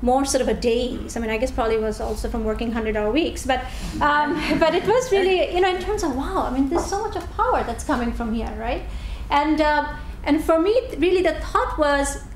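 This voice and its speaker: Woman, forties, from Bangladesh, chatty storytelling, higher voice, echoey hall. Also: fairly quick, hardly any pauses, a little hiss or hum. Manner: chatty, warm storytelling with many restarts and fillers. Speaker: guest speaker. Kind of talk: public talk. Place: lecture hall. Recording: echoey room recording.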